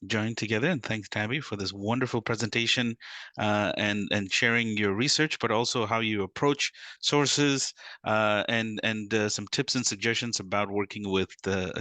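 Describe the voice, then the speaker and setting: A man chairs the question session of an academic talk, polite and formal, with frequent uhs. His voice is low and bright, and the sound is dry. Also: dry recording. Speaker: moderator. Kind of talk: moderating a talk.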